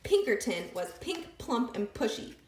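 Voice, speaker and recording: A woman reading a picture book aloud with expressive, lively storytelling. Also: on the high side, measured pace. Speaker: storybook reader. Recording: echoey room.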